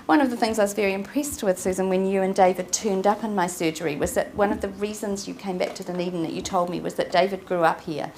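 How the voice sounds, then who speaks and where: A woman GP chairing a panel discussion, measured as she introduces the speakers and poses questions. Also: on the low side, darkish tone, slight background noise. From New Zealand. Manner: measured, addresses speakers and audience. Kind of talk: panel moderation. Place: conference room.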